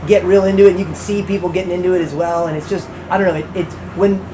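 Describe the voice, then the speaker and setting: A high-voiced man from a band chats quickly and loosely, stacking "you know" and "I mean" as he goes. The interview was recorded outside a venue, and clear background noise runs under his voice the whole time. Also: steady tone. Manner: fast, rambling, lots of "you know". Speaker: band member. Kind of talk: casual interview chat. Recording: noisy background ambience.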